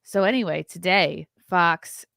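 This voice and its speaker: A woman hosting a casual commentary podcast, talking in a profane, animated way and mimicking the people she discusses. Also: lowish voice, a little slow, creaky, no room sound, clean studio sound. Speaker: comedian podcast host.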